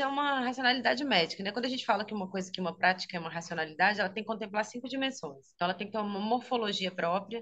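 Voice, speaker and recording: A woman's voice: medium pitch, a bit bright. A woman from Minas Gerais with a Brazilian accent, a speech therapist and health teacher, explaining her work in a casual interview, often adding "né?" and laughing easily. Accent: Brazilian Portuguese, Minas Gerais. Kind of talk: casual interview over a video call. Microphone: video call.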